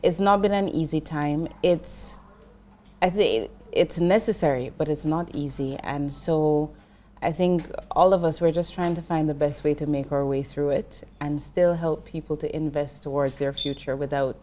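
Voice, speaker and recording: A woman's voice: low voice, fairly even intonation, normal speed, warm tone. A middle-aged woman from Jamaica, a guest in an interview, explaining finance earnestly with frequent restarts. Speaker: investment professional guest. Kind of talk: interview conversation.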